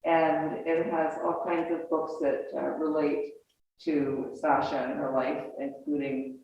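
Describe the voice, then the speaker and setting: A woman's voice: deep voice, level tone, takes it slowly, darkish tone, dull audio. A woman moderating a library event informally, heard from a distance in an echoey, noisy room. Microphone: distant room microphone.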